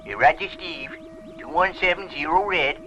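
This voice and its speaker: An actor playing an elderly, hesitant and excitable professor in a 1960s sci-fi puppet series, with a stammering delivery. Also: male voice, on the high side, normal speed, muffled recording, over loud music, lightly acted. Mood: alert.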